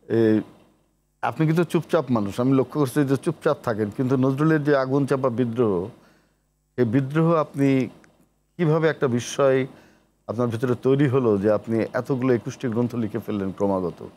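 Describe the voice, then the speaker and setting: A man from Bangladesh hosting a literary interview show, speaking in a measured, poetic, declamatory way. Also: mid-range voice, mellow, slight background noise. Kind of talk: poetic scripted introduction and interview.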